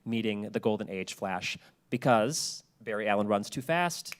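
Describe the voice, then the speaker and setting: A man's voice: lowish voice, animated, normal speed. A man giving an informal public lecture in a chatty, colloquial storytelling way with asides. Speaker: presenter at a public talk.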